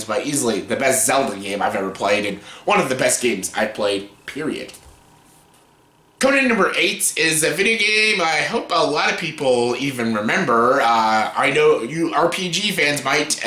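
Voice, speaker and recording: A man's voice: mid-range voice, lively intonation, moderate pace, bright, forceful tone. Scratchy-voiced man rambling casually. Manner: rambling, profane, filler-heavy. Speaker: vlogger. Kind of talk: casual top-ten countdown. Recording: roomy echo.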